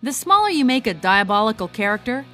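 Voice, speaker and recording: A woman's voice: on the high side, very animated, fast. A woman reading scripted narration as the narrator of a countdown. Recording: music under the voice. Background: background music.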